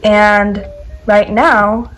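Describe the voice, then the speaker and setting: A woman's voice: mid-range voice, flat delivery, very slow, a bit bright, low-quality recording. A teenage girl from the United States chatting casually to camera, with lots of "like" and "um" fillers. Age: teens. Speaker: vlogger. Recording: faint background music.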